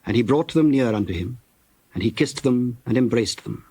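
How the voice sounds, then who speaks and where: A man narrates a dramatized scripture text. His medium-pitched voice is measured and solemn, like formal storytelling. The sound is dry, with no room around it. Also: expressive, warm tone, husky, no room sound.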